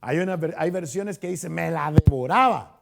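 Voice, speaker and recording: Gravelly Chilean pastor, chatty. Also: male voice, a little high, normal speed, faint music behind. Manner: chatty, asks the congregation questions, frequent restarts. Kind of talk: conversational Bible study teaching. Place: church hall.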